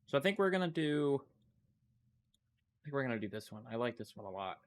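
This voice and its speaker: A man's voice: mid-range voice, sing-song, normal speed. A man with a congested, stuffy voice, casually commenting as he clicks through a computer demo and thinks aloud. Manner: thinking aloud, loose and unscripted. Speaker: tech channel host. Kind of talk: casual screen-recording commentary.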